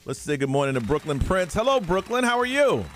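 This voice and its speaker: A man's voice: sits high, sing-song, brisk, fairly bright tone, slight background noise. A man hosting a live radio morning show, interviewing a guest in an upbeat, effusive way from the studio mic. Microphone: studio microphone.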